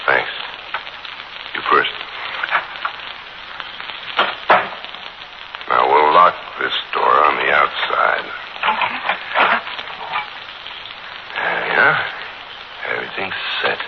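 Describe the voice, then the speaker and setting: Menacing American gangster, 1940s radio thriller. Mock-polite, swooping delivery, noisy vintage recording. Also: male voice, a bass voice, expressive, gravelly, highs cut off, full character voice. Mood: mock-gentle menace. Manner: mock-polite, sneering, slow taunting menace. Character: menacing wounded gangster holding a mother hostage.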